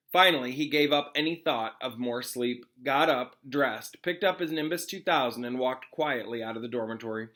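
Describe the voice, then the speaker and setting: Man, teacher, very bright forceful voice, expressive storybook read-aloud, informal explanations, medium pitch. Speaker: classroom teacher reading aloud.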